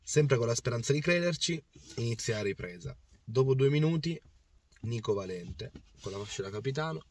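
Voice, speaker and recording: A man in his thirties with a worn-out, hoarse voice, passionately recapping a football match to camera. He rambles, repeats himself and restarts his sentences, and speaks from a parked car. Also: mid-range voice, lively intonation, normal speed, recorded from a distance. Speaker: football fan vlogger. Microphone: phone or camera on the dashboard.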